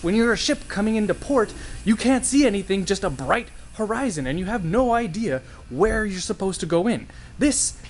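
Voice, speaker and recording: A man's voice: high-pitched, slight background noise, pitched above the performer's own voice. A man voicing an exasperated adult male sailor who talks in a blunt, pushy way.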